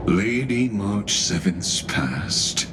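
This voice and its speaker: Cunning theatrical game schemer, bottomless low voice, very slow formal phrasing, swooping intonation. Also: male voice, expressive, background noise. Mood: smooth, ominous. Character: suave, menacing, theatrical man, cunning schemer. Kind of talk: dramatic video game voice acting.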